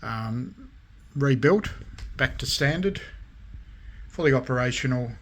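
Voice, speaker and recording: A man presenting his hobby restorations in a casual show-and-tell, speaking haltingly with frequent pauses between short phrases. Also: medium pitch, expressive, a bit bright. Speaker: radio restoration hobbyist and vlogger.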